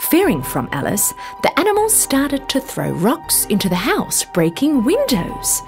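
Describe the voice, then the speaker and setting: Highly animated woman storyteller over music. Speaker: children's story narrator. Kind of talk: scripted bedtime story narration. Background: background music.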